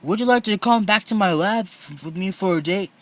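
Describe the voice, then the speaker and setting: Man acting a high, excitable lovesick boy genius. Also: a little fast, close to an everyday voice. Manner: melodramatic, gushing, urgent.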